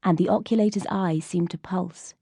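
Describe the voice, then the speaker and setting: A woman's voice: fairly low pitch, fairly even intonation, moderate pace, gravelly, mellow, husky, dry recording, clean studio sound. A woman reading scripted fiction as an audiobook narrator.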